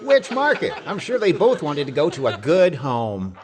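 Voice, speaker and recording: A man's voice: on the high side, wildly swooping pitch, moderate pace, background noise. A man playing a blustery, boastful husband who spins tall tales in old-time radio comedy style. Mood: chatty, teasing. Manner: blustery, wisecracking, alliterative patter.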